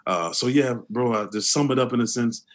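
A man with a deep voice, speaking earnestly as an interview guest, often restarting his sentences. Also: a little fast. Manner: earnest, with many restarts and fillers. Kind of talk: conversational interview.

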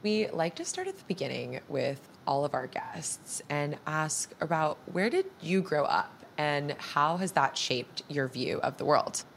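A woman's voice: deep voice, very animated, unhurried, gravelly, few pauses, slight background noise. A young woman hosting a podcast, moving between scripted introduction and interview questions. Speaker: podcast host.

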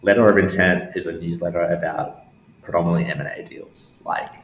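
A young man answering interview questions casually, haltingly thinking aloud as he goes. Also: sits low, fairly even intonation, creaky. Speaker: interview guest, newsletter founder. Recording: roomy echo, faint background noise.

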